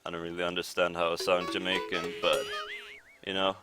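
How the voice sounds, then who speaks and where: A young Swedish man with a Swedish accent commentating his speedrun in a relaxed, rambling way, with many pauses and fillers. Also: deep voice. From Sweden. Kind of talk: casual live commentary over gameplay. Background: game music.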